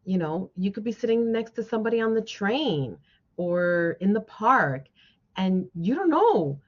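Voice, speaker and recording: A woman's voice: medium pitch, sing-song, unhurried. A woman guest speaker giving an encouraging motivational talk to a class, often checking in with her listeners. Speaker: guest speaker, social worker. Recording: dull, band-limited lo-fi sound.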